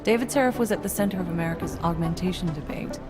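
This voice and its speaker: An actress playing a calm, probing doctor who questions a patient in a game cutscene. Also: female voice, lowish voice, fast, natural voice. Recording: game cutscene with loud music.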